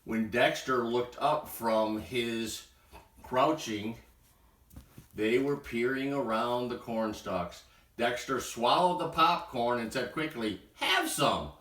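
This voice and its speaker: A man reading a children's bedtime story aloud in an expressive storytelling style. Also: medium pitch, slow pace. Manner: expressive, acts out sound words. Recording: roomy echo, distant from mic.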